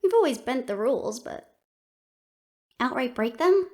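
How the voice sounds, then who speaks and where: Fantasy audio roleplay: lively young woman fighter, played by a woman, high voice, playful, expressive, slightly anxious. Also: a little slow. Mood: teasing.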